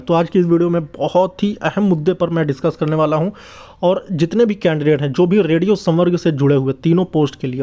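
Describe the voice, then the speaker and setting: A man's voice: fairly high pitch, mellow. A man giving an informal update to viewers as a channel host, explaining emphatically and repeating points, with English terms mixed in. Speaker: YouTube host giving exam news. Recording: dull, lo-fi muffled sound.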